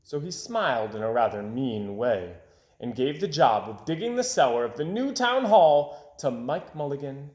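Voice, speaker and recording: A man reading a children's story aloud expressively, with dramatic delivery that drops to hushed phrase endings. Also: mid-range voice, big pitch swings, moderate pace. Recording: echoey room.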